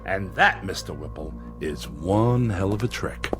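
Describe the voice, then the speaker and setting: An actor playing a bitter, principled long-serving employee in a radio drama, deliberate and dryly scornful. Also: male voice, low-pitched, expressive, music in the background. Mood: indignant.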